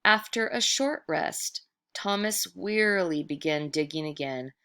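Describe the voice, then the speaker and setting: A woman reading a children's story aloud with expressive, dramatic narration, stretching words for effect. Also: low voice, unhurried.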